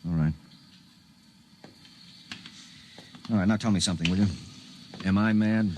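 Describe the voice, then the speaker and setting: Man playing harried everyman investigator, fairly deep voice, weary, unpretentious, old-time radio acting. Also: fairly even intonation, quick pace, darkish tone, slight background noise, natural voice. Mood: dazed, wary. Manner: plain-spoken, casual. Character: weary, harried male investigator with troubled marriage. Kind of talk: 1950s radio drama acting and first-person narration.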